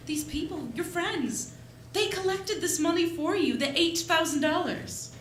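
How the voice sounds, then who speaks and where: A woman playing a loving, gentle young wife in a 1940s-style radio play, tender and affectionate. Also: extremely high pitch, measured pace, slight background noise. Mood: tender, reassuring. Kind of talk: 1940s radio play acting, live on stage. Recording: roomy live stage, music behind.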